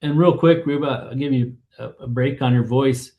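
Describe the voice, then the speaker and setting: A man's voice: medium pitch, moderate pace, narrow, tinny audio. A man, a company co-founder, explaining a pricing approach in a casual webinar, acting out the thoughts of imagined buyers along the way.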